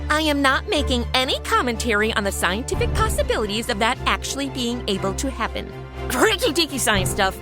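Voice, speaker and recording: A woman's voice: fairly high pitch, big pitch swings, a little fast, over music. A woman playing Madison, a sarcastic modern young woman who makes wisecracking asides in a comedy audio drama. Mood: snarky.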